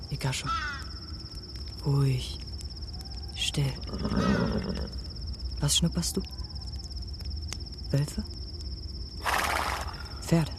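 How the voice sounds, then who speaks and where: Slow, hushed, lonely wanderer. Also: male voice, fairly high pitch, heavy background noise. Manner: hushed, pausing, reflective. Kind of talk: German radio drama monologue. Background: music with animal and insect sounds.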